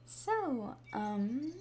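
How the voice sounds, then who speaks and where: A woman's voice: on the high side, a little mellow, heavily acted. A woman playing a shy, sweet schoolgirl with a crush in an ASMR roleplay, speaking in a bashful, hesitant, rambling way. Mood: shy, hopeful. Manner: bashful, hesitant, chatty, giggly. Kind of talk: ASMR roleplay, scripted to the listener. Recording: clearly audible background ambience noise.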